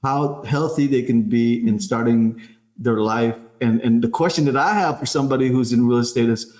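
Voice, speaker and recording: A man's voice: mid-range voice, moderate pace, a little mellow. Man, podcast host, from the Philippines, chatty, filler-heavy questions, roomy echo. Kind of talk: casual interview chat.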